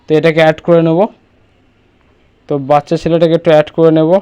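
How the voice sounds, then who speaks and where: A man's voice: a little high, monotone, fast, darkish tone, smooth. A man presenting a casual step-by-step screen tutorial, instructing and often checking that the listener follows.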